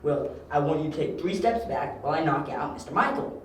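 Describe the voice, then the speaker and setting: Casting: male actor as unflappable, wry sleuth in an audio drama, slightly high voice, distant from the mic. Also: animated, fairly quick, close to an everyday voice. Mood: reassuring. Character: quick-witted male detective undercover, wry and unflappable. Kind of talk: amateur audio drama acting. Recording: very echoey, distant, faint noise.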